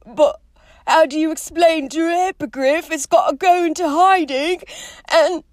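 A woman voicing a big, rough-spoken, soft-hearted and despairing gamekeeper man in an audiobook, with drawn-out, emotional speech. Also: very high voice, steady tone, dry recording, clean studio sound, pitched above the performer's own voice. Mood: anguished, bitter. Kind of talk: audiobook character voice.

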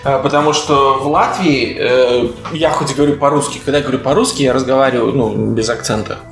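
A man's voice: medium pitch, fairly even intonation, fast, fairly bright tone. A man from Latvia with a light Latvian accent, hosting a casual comedy podcast in an ironic, joking manner. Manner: ironic, joking, mock-pompous. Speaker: podcast host. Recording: echoey room with music bed.

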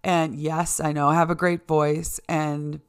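A middle-aged American woman with a low-pitched voice hosts her own podcast alone. She speaks in a candid, informal monologue straight to the listener, loose and conversational, with the odd swear word. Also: little pitch movement, a little slow, clean tone. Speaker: solo podcast host.

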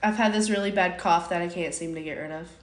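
A woman's voice: lowish voice, fast talker. Laid-back young woman, echoey room. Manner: laid-back, brief replies, the listener. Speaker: podcast co-host. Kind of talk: casual chat.

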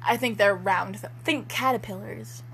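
A woman's voice: medium pitch, normal speed, a bit bright, slight background noise, low-quality recording. A young woman reading amateur fiction aloud in a mock-dramatic way, with giggly, informal joking banter.

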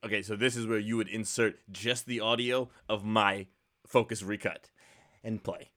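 A man co-hosting a podcast, casually riffing on movie trivia in a joking, animated way with some swearing. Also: on the low side, normal speed. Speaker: podcast co-host.

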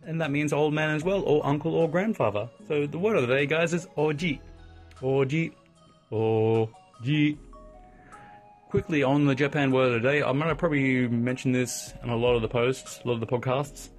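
A man's voice: medium pitch, moderate pace, faint music behind. Chatty man hosting a podcast. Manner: chatty and upbeat, lots of shout-outs and asides. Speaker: podcast host. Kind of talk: casual solo podcast chat.

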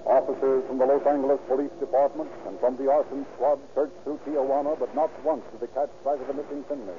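A man's voice: medium pitch, level tone, fast talker, over music. A man narrating in a dramatic, formal 1930s radio style. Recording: muffled, noisy old radio recording.

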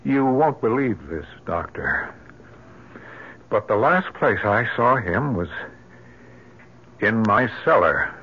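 A man's voice: fairly low pitch, big pitch swings, slow, long pauses, breathy edge, heavily acted. Haunted, grief-stricken radio drama father. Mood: uneasy. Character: grief-stricken, haunted father convinced his dead daughter calls. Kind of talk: old-time radio drama acting. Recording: dull, noisy old radio broadcast recording.

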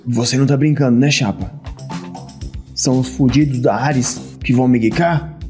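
A man's voice: medium pitch, steady tone, moderate pace, warm tone, faint music behind, lightly acted. A man acting in an audio drama as a brash, foul-mouthed street mercenary who talks in swaggering slang. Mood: wary, dismissive.

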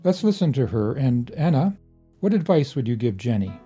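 A man's voice: medium pitch, big pitch swings, normal speed, dark tone, breathy edge, soft background music. A man hosting a podcast, reading scripted introductions and announcements. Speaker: podcast host.

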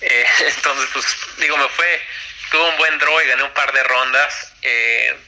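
A man's voice: medium pitch, steady tone, unhurried, pushed and bright, rough recording. A young man talks casually as an interview guest about college tennis, with many fillers and restarts.

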